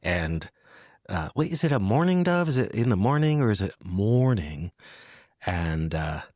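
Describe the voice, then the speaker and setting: A man hosting a poetry podcast, talking and reading in a reflective, halting conversational way. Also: fairly low pitch, very animated, a little mellow, no room sound, clean studio sound. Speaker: podcast host. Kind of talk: conversational poetry interview with read-aloud poems.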